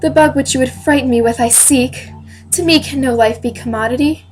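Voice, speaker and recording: A young woman playing a wronged, dignified queen who defends her honour in deliberate, declamatory Shakespearean verse. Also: high-pitched, faint music behind, natural voice. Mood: wounded, defiant. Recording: noisy amateur home recording.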